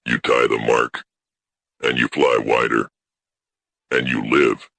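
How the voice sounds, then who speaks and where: A man voicing an ancient, solemn female alien elder in a science fiction audiobook, speaking with slow deliberation and a gravelly voice. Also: level tone, fairly bright tone, no echo, exaggerated voice. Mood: solemn, reverent. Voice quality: very low, gravelly. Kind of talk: scripted audiobook character voice.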